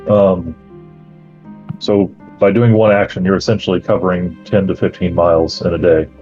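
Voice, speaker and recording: A man acting as game master, reading out campaign lore and then explaining the travel rules in a relaxed way with many fillers. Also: low voice, moderate pace, dull audio, soft background music.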